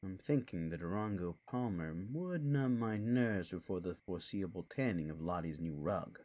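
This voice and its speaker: A man's voice: low voice, animated, moderate pace, a little mellow. A man playing a folksy, theatrical, wryly doom-laden creature in an audio drama. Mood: gloomy, wry.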